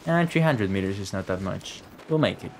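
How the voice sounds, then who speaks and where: Low-voiced man streaming a game. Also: animated, brisk, slight background noise. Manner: informal, sweary, talks to himself and the game. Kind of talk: casual live game commentary. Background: game music.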